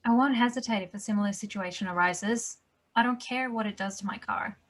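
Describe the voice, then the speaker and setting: A woman's voice: mid-range voice, a little fast, natural voice. A woman playing a tough, no-nonsense nurse in a table read. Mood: matter-of-fact.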